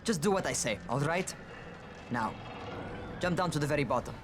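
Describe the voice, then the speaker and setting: A man's voice: fairly high pitch, animated, moderate pace, noisy background, close to an everyday voice. An actor playing a cocky, reckless young man in a video game, brash and teasing like a younger brother turned trainer. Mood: goading.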